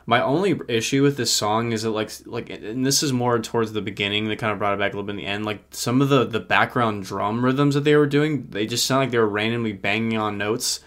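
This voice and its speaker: Low-voiced young man reviewing songs casually. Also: steady tone, fairly quick, hardly any pauses, fairly bright tone. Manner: rambling, swears casually, many fillers. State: sore throat, feeling sick. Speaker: podcast co-host. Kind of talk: casual music review chat.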